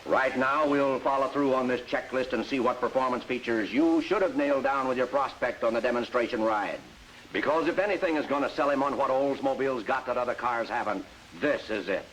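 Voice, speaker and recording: An actor playing a bossy, emphatic sales mentor who narrates and coaches in a 1950s industrial training film. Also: male voice, medium pitch, close to an everyday voice, rough recording. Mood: patient, emphatic. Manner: instructive, emphatic, salesmanlike delivery. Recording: vintage 1950s film soundtrack with music.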